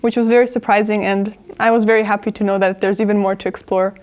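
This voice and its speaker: A woman's voice: medium pitch, level tone, fast, a little mellow. A teenage girl of Croatian and Italian background giving an earnest interview answer, with hesitant fillers. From Croatia and Italy. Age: teens.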